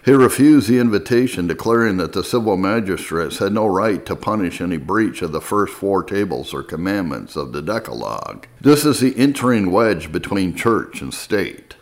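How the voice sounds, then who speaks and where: Low-voiced man reading history aloud. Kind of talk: scripted audiobook-style narration.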